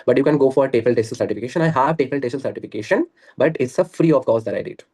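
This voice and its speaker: A man's voice: mid-range voice, animated, quick pace, darkish tone, breathy edge. A man talking earnestly and at length on a video call, laughing often. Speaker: guest. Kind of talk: casual conversation practice.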